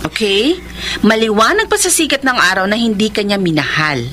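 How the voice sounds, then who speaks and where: An actress playing a blunt, sassy best friend in a Filipino radio drama, emphatic and scolding in a Filipino accent, mixing in English. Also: female voice, medium pitch, animated, moderate pace, fairly bright tone, a little hiss or hum, lightly acted. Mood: scolding, encouraging.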